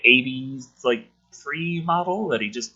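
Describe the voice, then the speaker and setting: A man's voice: mid-range voice, expressive, measured pace, bright, forceful tone, slightly rough, dull audio. A man doing a casual dramatic script reading as host, with sarcastic, profane ranting asides. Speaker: host reading a film script aloud with commentary.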